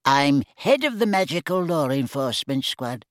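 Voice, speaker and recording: A man voicing a polite but firm, pompous ministry official in an audiobook, formal and measured. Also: fairly high pitch, big pitch swings, dry recording, clean studio sound. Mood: firm, wary. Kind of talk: dramatised audiobook character voice.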